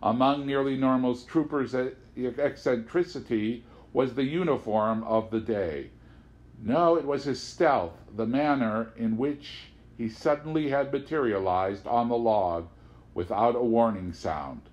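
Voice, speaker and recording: A man reading literary prose aloud as an audiobook narrator, with an expressive, theatrical delivery. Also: medium pitch, a little slow. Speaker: audiobook reader.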